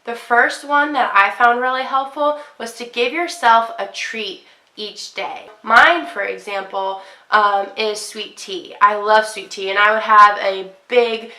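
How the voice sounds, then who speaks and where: A woman's voice: mid-range voice, fairly even intonation, measured pace, sharp tone. A young woman with a congested voice, chatting casually to camera about her fitness week, with plenty of ums. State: congested, sick. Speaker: fitness vlogger. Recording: echoey room, poor quality.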